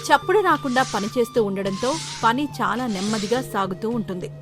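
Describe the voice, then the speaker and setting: A woman's voice: on the high side, expressive, a little fast, over music. A woman reading scripted story narration as the storyteller.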